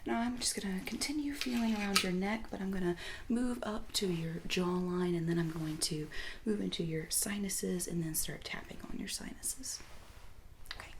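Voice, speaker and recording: A woman doing ASMR, playing a doctor at a checkup, soft-spoken and often whispering as she asks gentle questions close to a sensitive mic. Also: medium pitch, noisy background. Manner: slow, gentle clinical questions. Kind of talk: ASMR medical exam roleplay.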